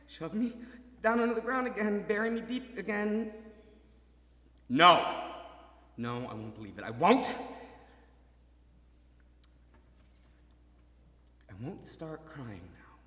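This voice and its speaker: An actor playing a gravely wounded young soldier in a stage drama, halting, repetitive and pleading, moving between murmurs and cries. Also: male voice, high-pitched, very animated, pushed and bright, full character voice. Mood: despairing. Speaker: actor in a stage adaptation of a radio play. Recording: roomy hall, distant mic, faint noise.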